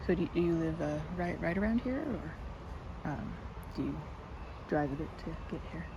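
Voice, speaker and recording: A woman's voice: sits low, expressive, moderate pace, dull audio, noisy background. A woman playing a timid, sweet, rambling young woman in an ASMR roleplay, speaking to the listener with shy, hesitant stammers. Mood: shy chatty.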